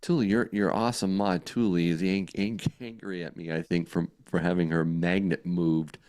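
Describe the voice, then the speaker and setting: A man's voice: deep voice, normal speed, clear, resonant tone. A man with a Boston accent, co-hosting a live stream in casual chat, laughing often. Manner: laughs often, lively and chatty. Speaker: co-host.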